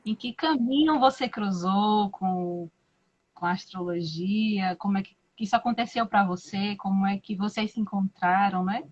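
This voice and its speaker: A Brazilian woman hosts a live-stream conversation in an even mid-range voice, speaking slowly and reflectively with frequent hesitations. Her audio comes through muffled and lo-fi. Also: medium pitch. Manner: slow, thoughtful, frequent "né?" and hesitations. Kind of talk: casual live-stream interview.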